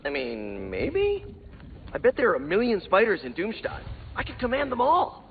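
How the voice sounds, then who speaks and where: An actor playing a cheeky, eager young superhero in a video game, chatty, playful and full of questions. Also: male voice, sits high, wildly swooping pitch, normal speed, narrow, tinny audio, slight background noise. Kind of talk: video game hero banter.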